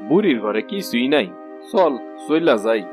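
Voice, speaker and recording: Man playing a soft-hearted Bangladeshi village thief over music. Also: medium pitch, sing-song, normal speed. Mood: wistful. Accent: Bangladeshi rural Bengali. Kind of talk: cartoon voice acting. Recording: music behind the voice.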